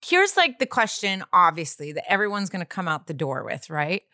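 A woman's voice: on the low side, big pitch swings, fairly quick, pushed and bright, no echo, studio quality. A woman co-hosting a podcast, chatting casually in a bubbly, enthusiastic way and giggling often. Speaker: podcast co-host.

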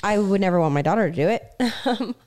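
A woman co-hosting a podcast, talking candidly and reflectively with frequent restarts, her voice slightly raspy. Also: medium pitch, animated, darkish tone. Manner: reflective, speaks in halting restarts. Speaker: podcast co-host. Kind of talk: candid conversation.

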